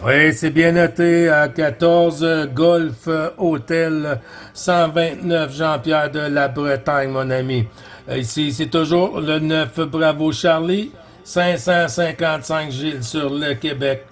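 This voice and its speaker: A man with a Quebec French accent and a slightly high voice runs a casual CB radio contact. He repeats his call phrases and addresses the other operator in a friendly, familiar way. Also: steady tone, takes it slowly. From Quebec, Canada.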